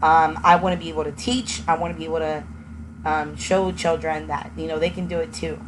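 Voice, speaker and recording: A young woman who grew up in Texas, a native Spanish speaker, earnestly telling her own story as an education student and pausing often with ums. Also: low-pitched, little pitch movement. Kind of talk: personal testimony. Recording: noisy with passing vehicle sounds.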